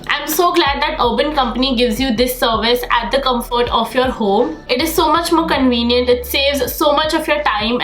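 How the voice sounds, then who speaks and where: A woman's voice: fairly high pitch, fairly bright tone. A young woman with a slightly nasal voice from a cold, chatting enthusiastically through a makeup tutorial. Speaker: beauty vlogger. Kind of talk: casual get-ready-with-me makeup tutorial. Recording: echoey room with faint background noise.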